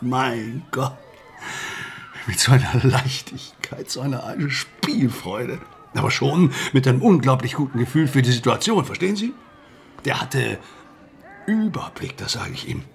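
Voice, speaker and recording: An actor playing an agitated, possessive middle-aged football mentor, speaking haltingly and emphatically in a drama. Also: male voice, medium pitch, lively intonation, vocal fry, slight background noise. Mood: wistful admiring. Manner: emphatic, halting, seeks agreement. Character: agitated, possessive football mentor grieving his young protégé.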